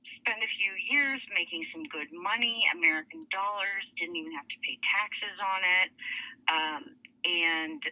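A woman's voice: lowish voice, measured pace, pushed and bright, no echo, highs cut off. A woman in her forties from Lebanon and Saudi Arabia, a podcast guest chatting casually about her life with fillers. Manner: chatty, with fillers and light laughs. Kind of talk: casual interview storytelling.

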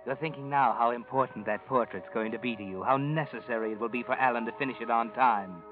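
A man voicing a sinister narrator who knows every secret, speaking in a knowing, insinuating tone. The sound is thin and tinny, like an old radio broadcast, with music playing under the voice. His pitch stays in a middle range. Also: quick pace, lightly acted. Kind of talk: 1940s radio drama narration.

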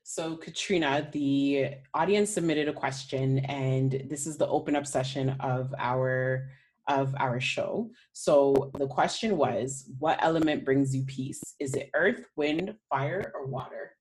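A woman with a notably low voice hosts a podcast interview. She speaks in a laid-back, slangy, conversational way. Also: a little slow, darkish tone, husky. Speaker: podcast host.

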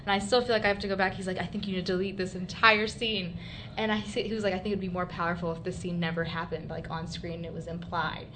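A young woman with a fairly low voice talks eagerly as a guest on a radio show, breaking into laughter and quick bursts. A clear hiss sits under the recording. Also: flat delivery, fairly bright tone. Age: young adult. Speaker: student guest. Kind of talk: casual chat. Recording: noisy studio recording.

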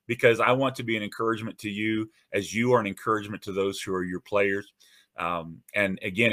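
A man hosting his own podcast in a casual, earnest and encouraging monologue, often restarting his phrases. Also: fairly bright tone. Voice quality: deep radio-style voice. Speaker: host.

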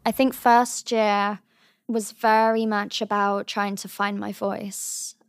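A young woman from England with a high voice, giving thoughtful, earnest interview answers as a drama student. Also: little pitch movement, takes it slowly, clean tone, dry recording, studio quality. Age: young adult. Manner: thoughtful, earnest, softly trailing phrases.

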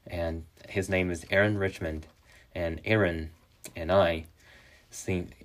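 Young male podcast host, Chinese native speaker, very deep voice, halting delivery with frequent restarts. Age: young adult. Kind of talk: solo podcast monologue.

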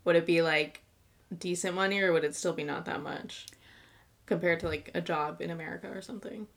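A woman's voice: on the low side, fairly even intonation, brisk. Playful young woman asking questions. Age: young adult. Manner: playful, curious, asks questions, joking. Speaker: podcast co-host. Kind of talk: casual chat.

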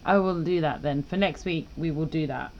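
A woman's voice: sits low, normal speed, highs cut off, a little hiss or hum. A woman co-hosting a podcast in casual, teasing, opinionated chat. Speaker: podcast co-host.

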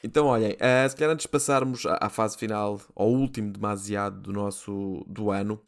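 A man's voice: on the low side, steady tone, a little fast, creaky. A man with a European Portuguese accent, co-hosting a podcast in casual chat, joking and laughing as he steers the topics. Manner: jokey, laughs often, leads the segment. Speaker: podcast co-host.

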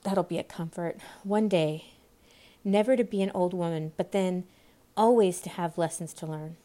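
A woman's voice: on the low side, moderate pace, mellow, natural voice. A woman voicing an earnest young storybook girl who talks to herself in a musing, childlike, self-scolding way. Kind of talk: storybook character voice.

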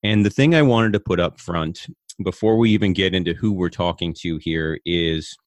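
Earnest American podcast host, low voice. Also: male voice, no echo. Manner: earnest, measured, speaks slowly when reading out numbers. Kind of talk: podcast interview chat. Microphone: video call.

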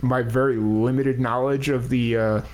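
A man's voice: mid-range voice, steady tone, moderate pace, noisy background. A man hosting a podcast live stream, talking in a rambling, hesitant, self-deprecating way. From United States. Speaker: podcast host. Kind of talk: casual live-stream chat.

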